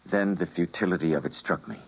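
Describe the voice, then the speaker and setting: An actor playing an earnest male scientist, who narrates in a 1950s radio drama, with a husky voice. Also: deep voice, brisk, exaggerated voice. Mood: grave. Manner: intense, emotional delivery. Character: doomed male time-travelling scientist, earnest. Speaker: physicist and narrator. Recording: dull vintage radio broadcast.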